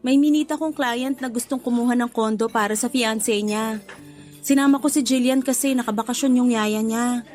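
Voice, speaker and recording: Supporting radio drama part: woman as protective, courteous young mom, high-pitched voice, faint music behind. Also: fairly even intonation, moderate pace, darkish tone, lightly acted. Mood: apologetic, gently chiding. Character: polite, protective young mother. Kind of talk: Tagalog radio drama acting.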